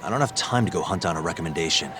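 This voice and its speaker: A man's voice: low voice, animated, racing. An actor playing a direct, persistent man pressing for answers in a video game cutscene. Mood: insistent.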